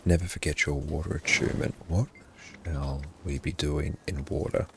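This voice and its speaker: A man livestreaming his gameplay in a hushed, half-whispered voice, often thanking his viewers. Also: a bass voice, steady tone, normal speed, background noise.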